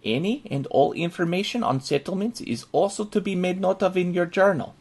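An earnest military man reads out a survival handbook, as a male actor plays him. His voice is husky and creaky, set a little high. He speaks formally and with measured care, instructing as in an audio drama. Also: a little mellow. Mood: grave instruction. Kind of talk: scripted in-character audio drama narration.

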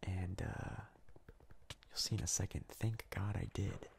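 A man giving hushed, casual, halting ASMR gameplay commentary in a breathy, mostly whispered voice as a video host. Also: sits low, little pitch movement.

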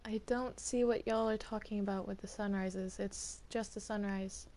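A woman's voice: medium pitch, steady tone, normal speed, mellow, close to an everyday voice. Needling, pushy game villainess played by a woman, mid-range voice, improvised delivery. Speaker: player in a Minecraft roleplay.

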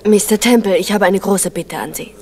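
A woman's voice: mid-range voice, brisk, darkish tone, lightly acted. An actress as a forward, admiring young female novelist. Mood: coaxing. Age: young adult. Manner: eager, direct, coquettish. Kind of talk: 1970 German radio crime drama acting.

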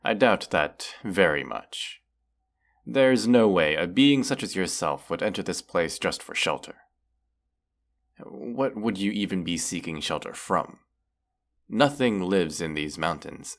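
A man's voice: low-pitched, lively intonation, a bit bright, exaggerated voice. A man playing a proud, menacing, weary dragon who distrusts humans, talking haughtily in a fantasy audio roleplay. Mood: scornful. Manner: slow, deliberate, haughty, with long pauses. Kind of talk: scripted audio roleplay monologue.

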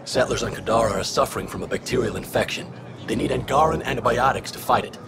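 A man playing a businesslike, impatient supply pilot in a science fiction game, with a gravelly, terse delivery. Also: mid-range voice, fast talker, noisy background. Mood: matter-of-fact. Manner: terse, matter-of-fact, insistent. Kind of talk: video game dialogue acting.

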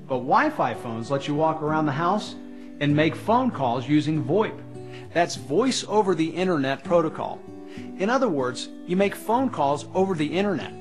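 An American man in his thirties giving an emphatic, persuasive scripted sales pitch to camera. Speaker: infomercial presenter. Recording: music bed behind the voice.

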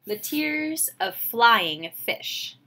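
A woman, reading a picture book aloud to children with expressive, enthusiastic, chatty asides. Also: a little high, very slow, vocal fry. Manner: expressive, enthusiastic, questioning the listeners. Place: small home room, indoors.

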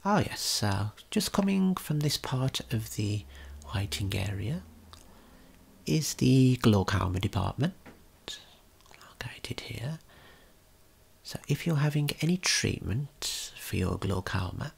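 A man narrates a guided tour in ASMR style. His voice is very breathy and whispered, with a creaky edge. He explains gently and takes his time with each phrase. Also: medium pitch, expressive, takes it slowly, long pauses.

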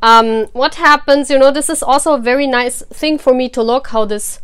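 German lady talking tarot to her fans, smooth clear voice a bit high, giggles a lot. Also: normal speed. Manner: chatty, laughs often, warm encouragement to fans. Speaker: tarot reader. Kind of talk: casual chat to camera.

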